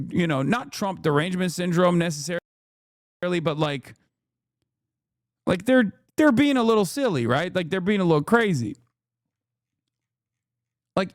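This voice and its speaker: A man hosting a stream, giving casual reaction commentary in an animated, sarcastic way and swearing often. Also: fairly high pitch, no echo, studio quality. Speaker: streamer host.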